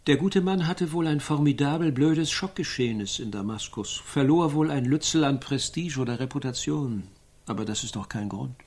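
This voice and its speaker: A pompous, learned knight of satirical radio drama, holds forth in a medium-pitched voice. His phrasing is stilted and scholarly, as of someone forever instructing others in the proper code. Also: moderate pace, a little mellow, husky. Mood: disdainful. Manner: pompous, stilted, Latin-sprinkled wording. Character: pompous, learned knight preaching honour and rules. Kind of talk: satirical radio play dialogue.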